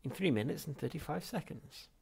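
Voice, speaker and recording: A deadpan, needling male space traveller, read by a male narrator, lifted in pitch. He is unfriendly and matter-of-fact, in a comic science fiction audiobook. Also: brisk, vocal fry, breathy edge, heavily acted. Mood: dry, needling.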